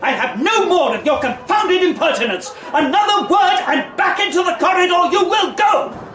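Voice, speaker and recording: An actress playing a domineering, strict schoolteacher in a radio drama, shouting imperiously in a fury. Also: female voice, very high-pitched, animated, normal speed. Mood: furious. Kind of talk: radio comedy drama acting. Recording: distant, echoey, noisy room.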